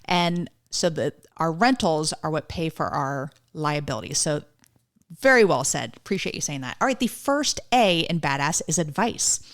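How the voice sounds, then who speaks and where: Forties woman podcast host, lower-pitched, chatty and enthusiastic. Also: animated, normal speed. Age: 40s. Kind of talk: casual interview hosting.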